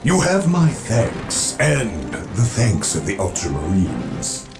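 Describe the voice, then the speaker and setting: A grave space marine commander in a game. He speaks slowly and formally in a gravelly mid-range voice, echoing under loud music. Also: low-pitched, huge pitch swings, exaggerated voice. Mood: solemn. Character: solemn, pious armored space marine commander. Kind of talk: dramatic video game voice acting.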